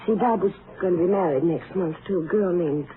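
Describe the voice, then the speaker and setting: An actress in an old-time radio drama playing a shaken young rancher's daughter, halting and nervous, repeating her words. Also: lowish voice, narrow, tinny audio, lightly acted. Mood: subdued, hesitant. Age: young adult. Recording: thin, faintly noisy 1950 radio recording.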